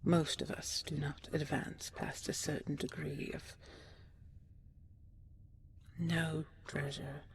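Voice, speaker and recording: A woman playing an ancient dragon queen in an intimate sleep roleplay, tender, regal and wistful, speaking softly and breathily in slow, stately phrases, often half-whispering. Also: deep voice, creaky, slight background noise, full character voice. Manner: slow, measured, stately, with lingering pauses.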